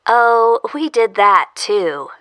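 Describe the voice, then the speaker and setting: A woman playing a composed, quietly menacing captor in an audio drama, speaking in a measured, coolly polite way. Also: mid-range voice, big pitch swings. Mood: wry, controlled. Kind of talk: audio fiction acting.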